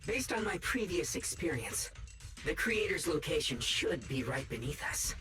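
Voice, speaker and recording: A woman's voice: low-pitched, big pitch swings, normal speed, music in the background. Composed, serious woman, slightly distant. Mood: grave. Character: composed, knowledgeable, serious expedition companion. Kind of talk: English video game voice acting.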